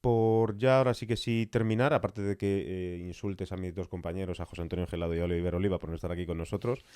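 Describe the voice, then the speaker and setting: A man from hosting a casual studio interview, bantering in wordy, halting sentences. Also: low-pitched, fairly even intonation, a little mellow, clean tone, no room sound. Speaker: podcast host, interviewer.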